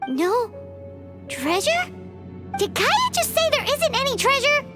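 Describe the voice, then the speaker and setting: A woman voicing a tiny, excitable fairy-like girl companion in a video game, with a childlike, squeaky manner. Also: slow, heavily acted. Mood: flustered. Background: game music.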